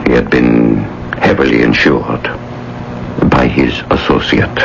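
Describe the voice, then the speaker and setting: An actor playing a grave, courteous police officer in a radio drama, speaking formally and deliberately. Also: male voice, very deep voice, steady tone, gravelly, full character voice. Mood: grave, apologetic. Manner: formal, deliberate, politely apologetic. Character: grave, courteous male police officer delivering grim news. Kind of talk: 1980s radio drama acting. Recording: dull, noisy old radio broadcast.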